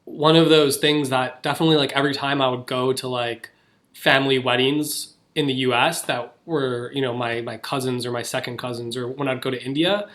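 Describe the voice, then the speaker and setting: A man's voice: mid-range voice, steady tone, brisk, fairly bright tone. A young man co-hosting a podcast, talking casually with lots of fillers and restarts. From United States. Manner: chatty, filler-heavy, many restarts. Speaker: podcast co-host. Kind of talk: casual chat.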